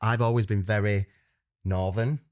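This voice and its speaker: A man's voice: fairly low pitch, fairly even intonation, normal speed. Man with a broad Leeds accent. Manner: warmly agreeing, proud of his roots. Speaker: podcast co-host. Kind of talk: casual podcast chat.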